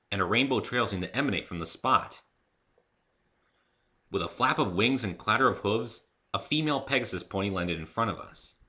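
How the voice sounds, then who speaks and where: A man reading his own story aloud in the first person, in an expressive, theatrical narrating style. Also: lowish voice.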